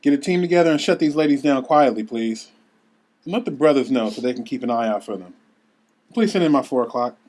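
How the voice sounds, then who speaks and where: A man's voice: mid-range voice, lively intonation, a little fast, darkish tone, lightly acted. A man playing a cold, commanding woman boss with a formal, imperious manner in a script read. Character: commanding, cold female boss defending her rule.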